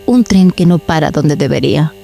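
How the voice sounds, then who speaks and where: Woman acting as solitary female archivist, dark mellow voice, measured and solemn, enigmatic, audio-drama narration, faint music behind. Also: low-pitched, expressive, creaky, exaggerated voice. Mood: ominous, measured. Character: solitary female archivist cataloguing eerie tapes. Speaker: host.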